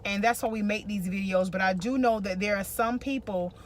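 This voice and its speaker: A woman's voice: mid-range voice, steady tone, brisk, a little hiss or hum. An American woman co-hosting a relationship vlog, giving casual advice and repeating phrases for emphasis.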